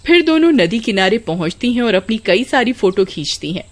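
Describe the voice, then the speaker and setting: Lively woman storyteller. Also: fairly high pitch, brisk. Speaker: story narrator. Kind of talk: scripted dramatic narration of a Hindi horror story.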